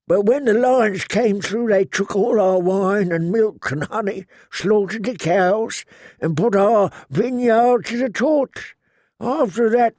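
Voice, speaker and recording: An elderly monk, speaks in a high, plaintive voice pitched well up. He is weary and ashamed, halting as he goes, a sorrowful storybook survivor. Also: a little mellow, dry recording, studio quality, heavily acted. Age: older.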